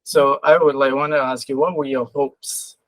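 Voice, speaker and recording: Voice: medium pitch, flat delivery, moderate pace, a bit bright, no echo, poor audio. An interviewer moderating a panel in a casual, informal chatting style.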